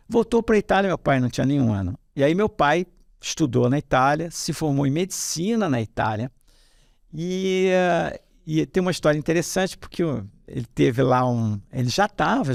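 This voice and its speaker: Gravelly middle-aged man from Rio. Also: fairly high pitch, dry recording, studio quality. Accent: Carioca Brazilian Portuguese. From Rio de Janeiro, Brazil. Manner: rambling, many fillers and restarts, animated when telling stories. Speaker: hematologist guest. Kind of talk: casual interview storytelling.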